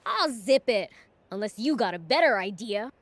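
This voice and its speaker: A woman's voice: mid-range voice, sing-song, normal speed, dry recording. A woman voicing a cocky, eager young demon prince in a video game, with a brash, boyish manner. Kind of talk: video game cutscene acting.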